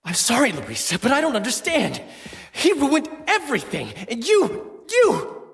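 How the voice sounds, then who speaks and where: An actor playing a volatile, theatrical, high-strung male rebel in a video game, agitated and erratic. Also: wildly swooping pitch, normal speed, gravelly, fairly bright tone, in a large hall, heavily acted. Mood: frantic, angry.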